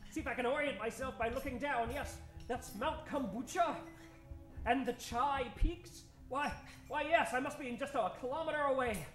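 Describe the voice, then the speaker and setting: A man as a high-voiced excitable scientist adventurer. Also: normal speed. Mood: thoughtful, relieved. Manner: theatrical, exclamatory, florid. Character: eccentric male scientist adventurer, excitable and theatrical. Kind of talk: old-time radio adventure acting. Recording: roomy stage sound, faint music behind.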